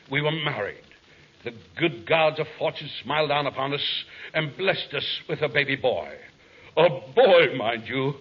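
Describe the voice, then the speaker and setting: A man's voice: a little high, slightly rough. An actor playing a raggedy vagrant convict who tells his tragic life in florid, theatrical speech, in 1940s radio comedy style. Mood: mournful storytelling. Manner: florid, theatrical, formal diction. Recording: muffled old radio broadcast recording.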